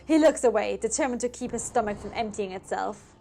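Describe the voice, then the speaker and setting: A woman's voice: fairly high pitch, brisk, vocal fry, mellow, slight background noise. A woman storyteller reading a scripted tale in a theatrical, suspenseful manner. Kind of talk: dramatic scripted story narration. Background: music.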